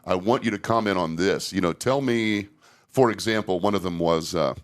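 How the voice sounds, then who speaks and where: Older male, low voice, candid and unpolished, chatting casually as a podcast host and trainer. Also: moderate pace.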